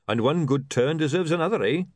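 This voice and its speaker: A man doing a blunt, gruff dwarf. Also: on the high side, expressive, fairly quick, a little mellow, no echo, pitched above the performer's own voice. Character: blunt male dwarf printer, gruff and tactless.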